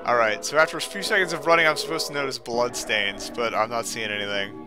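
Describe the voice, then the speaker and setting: A man's voice: medium pitch, quick pace, music in the background. A man giving casual game commentary and reading a story aloud, with sardonic, exasperated asides. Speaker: let's player reading a creepypasta aloud.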